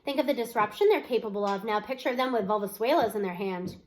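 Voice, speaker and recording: A woman's voice: medium pitch, quick pace, pitched below the performer's own voice, close to an everyday voice. Woman acting a stern, unyielding female principal. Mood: insistent. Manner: insistent, accusing.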